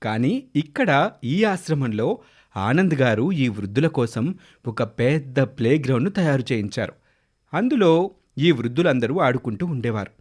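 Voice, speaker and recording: A man's voice: medium pitch, expressive, moderate pace, a little mellow, breathy edge. A man narrating a scripted moral story for children as a storyteller.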